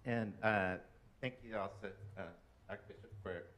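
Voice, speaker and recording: Man with a very low voice, halting and grateful, recorded far off in a roomy hall. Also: steady tone, fairly bright tone, a little hiss or hum. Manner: hesitant, many "uh"s, grateful. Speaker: program director presenting to a school board. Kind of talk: speech to a school board. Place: board meeting room.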